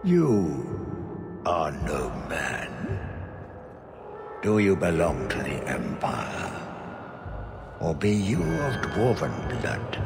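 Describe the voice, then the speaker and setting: An actor playing an ancient monstrous magister in a fantasy video game, imperious and bewildered, with archaic, commanding phrasing. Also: male voice, deep voice, huge pitch swings, gravelly, loud music behind the voice, heavily acted. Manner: archaic, commanding, slow and deliberate speech.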